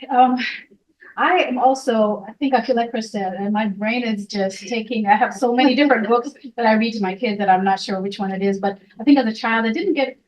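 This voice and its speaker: A woman's voice: fairly high pitch, fairly quick, distant mic, rough recording. A woman at an educators' workshop who talks about her children's reading in a chatty, amused way. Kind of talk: introducing herself in a group. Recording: voice picked up from across a room.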